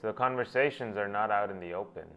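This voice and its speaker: A man with a lowish voice, a native Gujarati speaker, talks through his ideas in a thoughtful monologue and often circles back to restart a phrase. The sound is a bit dull and lo-fi, with faint music underneath. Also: fast, fairly bright tone. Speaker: host. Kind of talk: reflective monologue.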